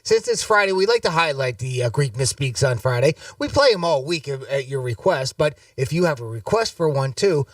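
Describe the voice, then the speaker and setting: A man's voice: medium pitch, animated, a little fast, few pauses, no room sound, recorded from a distance. A man hosting a radio show, chatty and upbeat, running promos and segments. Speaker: radio host. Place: radio studio.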